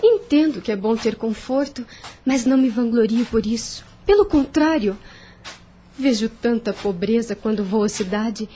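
An actress playing a meek, sweet young Brazilian heiress in a radio drama, speaking gently and formally. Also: female voice, fairly high pitch, moderate pace, husky. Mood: troubled, reflective.

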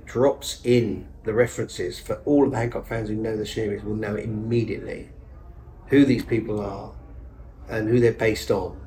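A man's voice: lowish voice, darkish tone, poor audio. A man, a stage actor and director, talking in a casual, enthusiastic, rambling way as a podcast guest. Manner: enthusiastic, rambling, self-interrupting with fillers. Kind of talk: casual conversational interview.

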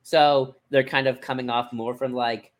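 A man in a casual podcast discussion, talking in a halting, rambling way and often circling back to rephrase. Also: mid-range voice, flat delivery. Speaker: podcast co-host.

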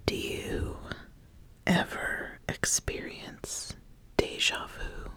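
A woman voicing an ambitious witch who calmly sizes up an apprentice in a soft-spoken, halting, deliberate ASMR roleplay. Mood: calm, inquisitive.

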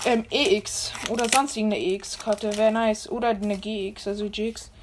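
A young woman with a hoarse voice, chatting excitedly as she opens trading card packs and reads out the cards, full of slang. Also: mid-range voice, normal speed, heavy background noise. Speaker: card pack opener. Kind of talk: excited casual chat.

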